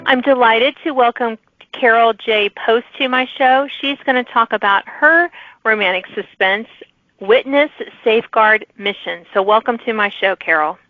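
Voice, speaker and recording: A woman's voice: fairly high pitch, moderate pace, no echo, phone-quality sound, soft background music. A woman hosting a podcast, asking interview questions in a mix of prepared wording and casual chat. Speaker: podcast host interviewing authors.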